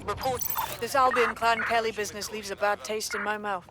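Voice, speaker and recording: An actress playing a businesslike resistance handler giving a mission briefing in a video game. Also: female voice, mid-range voice, steady tone, a little fast, noisy background, close to an everyday voice. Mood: businesslike, grim.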